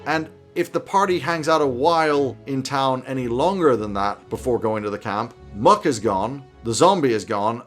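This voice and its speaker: A man in his thirties giving a conversational explainer to camera as a host, with an animated, casual, dryly humorous manner. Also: mid-range voice, normal speed, hardly any pauses, soft background music. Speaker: tabletop roleplaying game advice host. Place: small home office, indoors.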